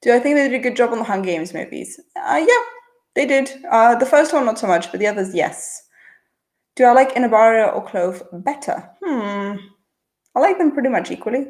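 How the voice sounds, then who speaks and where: A woman's voice: fairly high pitch, animated, darkish tone. Chatty woman with a mixed international accent. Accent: mixed international-school accent. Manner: chatty, answers quickly with frequent uh and um fillers. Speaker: channel host answering viewer chat. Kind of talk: casual livestream Q&A, reading chat questions aloud.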